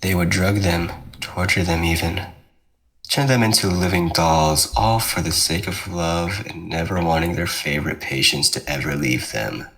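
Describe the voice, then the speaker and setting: Man playing a deep, teasing young ghost twin. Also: gravelly, a bit bright, low-quality recording. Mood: darkly fascinated. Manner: taunting, measured, darkly coaxing. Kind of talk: ASMR roleplay drama.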